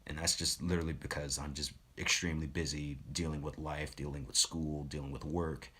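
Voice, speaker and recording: A young man who does voice acting, telling a personal story in a relaxed, reflective vlog with lots of fillers. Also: extremely low pitch, a little fast, slightly rough. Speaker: voice actor and streamer.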